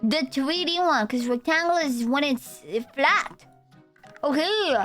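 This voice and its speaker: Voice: sits high, a little slow, a bit bright, faint music behind. A young adult VTuber streamer with a Romanian accent, chatting with viewers in a goofy, rambling, playful way. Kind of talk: casual live-stream chat.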